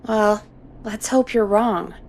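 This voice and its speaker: A woman's voice: medium pitch, takes it slowly, darkish tone, slight background noise. A woman voicing an encouraging, lightly wry radio show producer in a video game.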